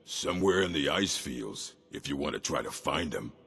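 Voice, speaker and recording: An actor playing an ancient, menacing dragon in a fantasy video game, speaking in a grandiose way. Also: male voice, a bass voice, animated, fairly bright tone, faint music behind, exaggerated voice. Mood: solemn. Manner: slow, grandiose.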